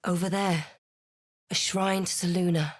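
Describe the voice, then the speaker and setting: A woman playing a self-possessed female adventurer and spellcaster in a fantasy video game, with a measured, dramatic delivery. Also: on the low side, fairly even intonation, no room sound, studio quality. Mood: alert, wry. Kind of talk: video game voice lines, narration and incantations.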